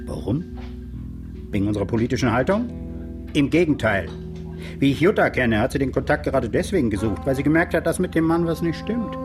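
An actor plays a male populist politician in a radio drama. He is smug and self-assured, making provocative points in a measured, pompous tone, while music is clearly heard behind his voice. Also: medium pitch, expressive, natural voice. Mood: self-righteous. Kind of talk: naturalistic radio drama acting.

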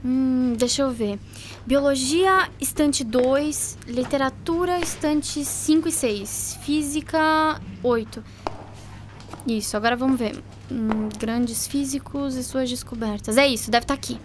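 A woman's voice: high voice, a little slow, a little hiss or hum. A woman playing a curious, imaginative schoolgirl in a radio drama, exclaiming and thinking aloud. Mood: curious, musing.

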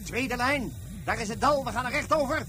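The leader of an airborne raid in a space radio drama. His voice is very high and urgent, snapping out short orders and excited reports. Music plays clearly behind him. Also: fairly quick, full character voice. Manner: urgent, clipped commands and running report. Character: excitable, bossy male leader of a flying raid. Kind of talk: Dutch science-fiction radio play acting.

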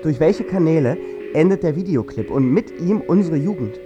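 A man's voice: a little high, lively intonation, moderate pace, highs cut off, lightly acted. An actor playing a male narrator in a literary radio drama, musing in rhythmic repetitions. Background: music.

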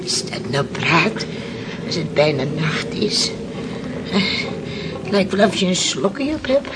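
A woman's voice: fairly low pitch, big pitch swings, takes it slowly. Brusque village woman, noisy recording. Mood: scolding. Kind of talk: old Dutch radio play acting. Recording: very noisy old radio recording.

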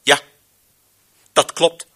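An actor playing a stern, brooding master of voices in a radio play, commanding and deliberate, with theatrical pauses. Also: male voice, a bit bright. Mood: brooding, defiant. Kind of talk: radio play monologue, declamatory.

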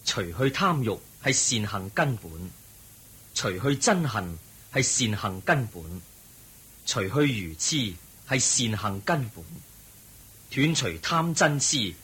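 The buddha in a radio play, calm sage preaching real slow and solemn, lowish voice that lifts and falls a lot. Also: male voice, husky, exaggerated voice. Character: the Buddha, serene male sage teaching a crowd. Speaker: religious teacher in a radio drama. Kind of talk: Cantonese radio drama acting.

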